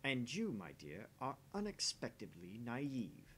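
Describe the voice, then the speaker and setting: A man's voice: huge pitch swings, measured pace, a bit bright. A man voicing a smug, jaded husband in a visual novel, mocking and condescending as he teases. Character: smug, sneering, jaded married man teasing his dinner date. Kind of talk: voice-acting visual novel dialogue. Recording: faint background rumble and noise.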